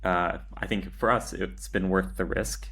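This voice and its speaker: Male interview guest, low and flat in pitch, measured, hesitant, matter-of-fact, conversational. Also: slightly rough. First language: English. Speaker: podcast guest, agency founder.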